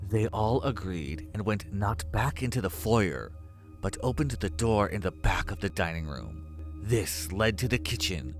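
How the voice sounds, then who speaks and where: A man reads scripted narration for a horror story. His voice is on the low side, and soft music plays behind him. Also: lively intonation, unhurried.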